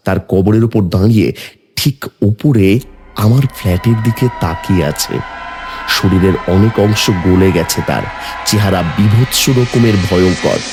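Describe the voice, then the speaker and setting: Low-voiced man narrating horror, very animated. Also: slightly rough. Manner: suspenseful, dramatic. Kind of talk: dramatic first-person scripted narration.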